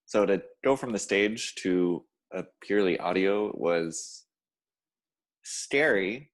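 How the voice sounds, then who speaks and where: Man, stage actor, lower register, animated melody, casual panel talk. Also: slow pace. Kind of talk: casual chat.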